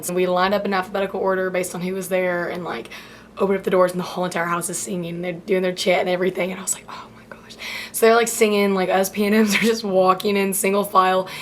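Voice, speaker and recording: A college freshman in her late teens, chatting in a casual vlog with a slightly hoarse voice as she loses it, rambling with many "like" fillers. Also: on the low side, slight background noise.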